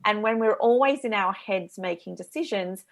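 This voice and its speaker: A woman's voice: medium pitch, normal speed, gravelly. A woman with an Australian accent, a guest coach speaking earnestly and eagerly in a radio interview.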